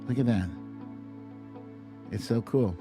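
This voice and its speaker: A man's voice: mid-range voice, slow, music in the background. A man hosting a casual livestream, chatting and reacting to clips in a playful, theatrical way. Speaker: host of a live online show.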